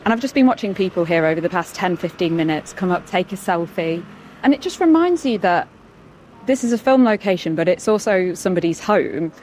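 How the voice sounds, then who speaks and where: A woman's voice: lowish voice, animated, fairly quick, a little mellow, slight background noise. A woman with a British accent reporting on location for a news programme, mixing narration with short interviews. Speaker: senior producer reporting on location.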